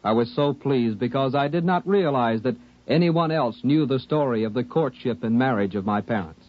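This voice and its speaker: A man reading scripted announcements as a formal, measured 1950s radio announcer. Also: medium pitch. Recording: muffled 1950s radio recording.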